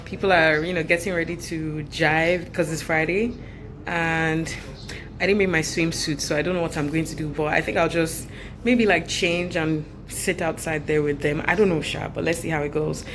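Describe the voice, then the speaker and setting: A woman's voice: low voice, fairly even intonation, noisy background. A young Nigerian woman in her twenties, chatting casually to a handheld camera as she walks through a hotel. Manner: chatty, laughs easily, slow and unhurried. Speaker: vlogger. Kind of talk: casual vlog chat to camera. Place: hotel corridors and grounds.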